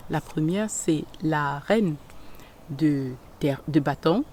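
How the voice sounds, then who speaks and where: A woman giving a tarot reading straight to the viewer, gentle and unhurried, often pausing and searching for words. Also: low-pitched, a little hiss or hum. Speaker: tarot reader.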